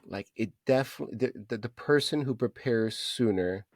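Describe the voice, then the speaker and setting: A man's voice: on the low side, normal speed, no room sound, studio quality. A man in his thirties chatting casually as a podcast co-host, encouraging and repeating phrases for emphasis. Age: 30s. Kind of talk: casual chat.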